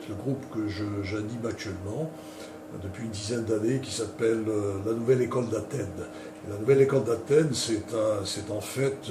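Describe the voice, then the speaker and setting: Older guy, halting, lots of ums, roomy far-off mic with noticeable background noise. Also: fairly low pitch. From Canada. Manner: hesitant, many fillers and restarts. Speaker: interviewee. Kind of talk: self-introduction, unscripted. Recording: roomy and distant with clear noise.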